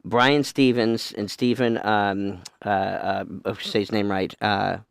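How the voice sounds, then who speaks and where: A man's voice: fairly low pitch, level tone, slow, vocal fry, smooth, no room sound, clean studio sound. A man co-hosting a show, playful and rambling, chatting casually. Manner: playful and rambling, many fillers and restarts. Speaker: podcast co-host. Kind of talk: casual chat.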